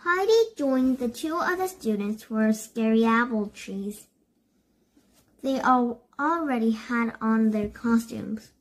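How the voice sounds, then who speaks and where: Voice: medium pitch, poor audio. A child reading a storybook aloud, word by word, with stumbles and self-corrections.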